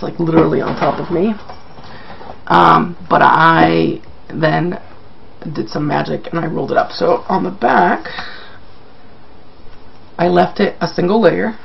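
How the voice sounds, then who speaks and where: Male vlogger, sick and very creaky, self-deprecating casual chat, slightly dull home recording with background noise. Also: fairly high pitch. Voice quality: croaky. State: sick, croaky. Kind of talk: casual video update to viewers.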